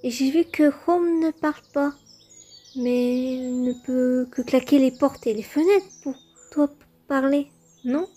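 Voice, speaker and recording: Woman acting as a young cartoon puppet boy, high voice, unhurried pace, earnest, curious, easily bewildered, comic dub. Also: warm tone.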